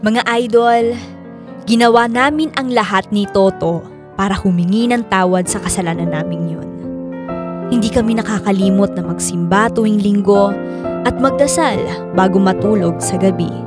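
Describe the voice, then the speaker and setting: An actress with a Filipino accent playing a remorseful young woman who narrates her confession in a measured, sorrowful way for a radio drama. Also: medium pitch, close to an everyday voice. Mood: penitent. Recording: piano music behind the voice.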